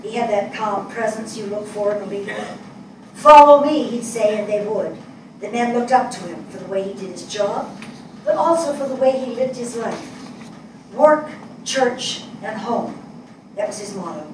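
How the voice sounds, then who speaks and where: An older woman playing a thoughtful, compassionate writer in a staged dramatic reading, measured and earnest. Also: medium pitch, distant mic, close to an everyday voice. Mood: solemn tribute. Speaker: actor in a staged play reading. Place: small indoor theatre stage before an audience. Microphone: distant camera mic. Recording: echoey stage picked up from afar, noisy.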